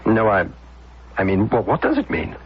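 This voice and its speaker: A man's voice: on the low side, huge pitch swings, breathy edge, exaggerated voice. An actor playing a wistful, timid, lovestruck man in an old-time radio drama, hesitant and awkward in his delivery. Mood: timid, embarrassed. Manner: hesitant, halting, unsure. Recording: muffled old radio recording with music.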